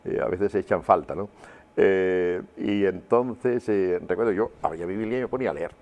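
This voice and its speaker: An older man with a low, deep voice. He shares personal testimony as an interview guest, pausing and searching for words as he reflects on his memories. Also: a little mellow. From Huelva, Andalusia, Spain.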